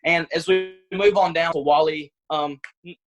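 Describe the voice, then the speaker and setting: Higher-pitched male sports-show analyst. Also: steady tone, moderate pace, faint music behind, poor audio. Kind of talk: sports commentary.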